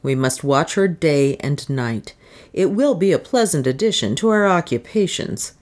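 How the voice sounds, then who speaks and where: A woman's voice: low voice, animated, moderate pace, darkish tone. A woman voicing a cold, commanding male adventurer in an audiobook, speaking in a curt, dry way. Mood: desperate, grim. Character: cold, desperate, commanding British adventurer man.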